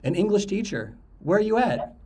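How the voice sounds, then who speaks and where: Chatty higher-voiced man hosting a podcast. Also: very animated, normal speed, darkish tone, slightly rough. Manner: chatty, repeats words and restarts often. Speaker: podcast host. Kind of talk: casual podcast interview.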